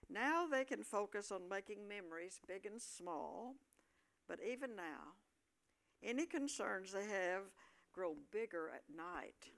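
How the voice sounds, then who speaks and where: Animated woman narrator. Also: mid-range voice, a little slow, creaky. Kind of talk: scripted narration of staged play reading.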